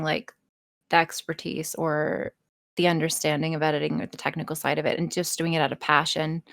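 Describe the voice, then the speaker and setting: A woman's voice: low voice, dry recording. A woman speaking candidly in casual podcast chat, emphatic and freely swearing, with some self-mockery. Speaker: podcast guest, erotic audio role-play performer.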